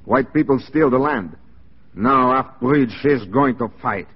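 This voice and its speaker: An actor in a 1940s radio drama playing a defiant, rough Métis rebel leader who speaks broken English, on an old muffled recording. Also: male voice, medium pitch, unhurried. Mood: defiant, urging. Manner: broken English with dropped words.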